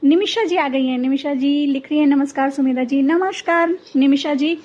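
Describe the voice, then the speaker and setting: A woman with a high-pitched voice hosts a casual live talk programme. She speaks conversationally, and the sound is slightly dull and lo-fi. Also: normal speed, darkish tone. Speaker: host of a writers' interview programme.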